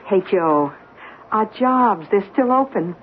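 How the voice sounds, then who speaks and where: An actress playing a hopeful working-class wife who coaxes earnestly in a 1970s radio drama. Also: female voice, medium pitch, expressive, takes it slowly. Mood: coaxing, earnest. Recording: phone-like, noisy 1970s radio broadcast recording.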